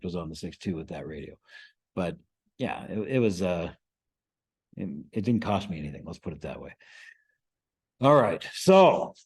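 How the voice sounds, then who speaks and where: A man's voice: on the low side, a little mellow. An American man giving an informal instructional presentation to a group, conversational, often checking in with short questions. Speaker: presenter, amateur radio instructor.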